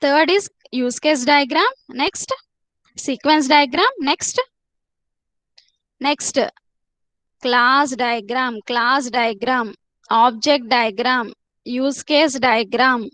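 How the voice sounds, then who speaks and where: A woman teacher leads a live online class, her voice sitting high. She repeats herself often and coaxes students to answer. The sound is dry and close, picked up by a computer mic. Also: fairly bright tone, no echo, rough recording. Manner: repetitive, coaxing students to answer.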